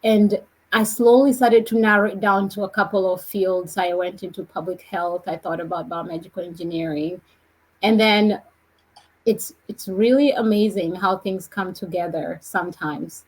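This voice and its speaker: A woman from Kenya, a guest on a podcast, telling her story in a reflective, measured way. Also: mid-range voice, steady tone, husky, low-quality recording. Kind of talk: podcast conversation.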